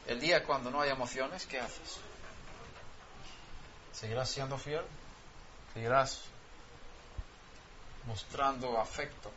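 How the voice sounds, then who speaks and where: A man's voice: medium pitch, fairly even intonation, slow, pauses often, sharp tone, slight background noise. A man whose first language is Swedish, preaching a sermon and pressing his point with rhetorical questions. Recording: dull lo-fi sound, faint ambience.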